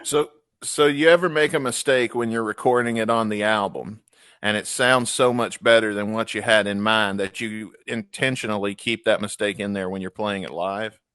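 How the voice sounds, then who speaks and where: A man's voice: on the low side, fairly bright tone, clean tone, dry recording. A man from Mississippi with a Southern accent, hosting a podcast interview in a chatty, laughing manner. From Tupelo, Mississippi. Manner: chatty, laughs often, drops into quick impressions. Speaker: podcast host. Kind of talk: casual interview chat.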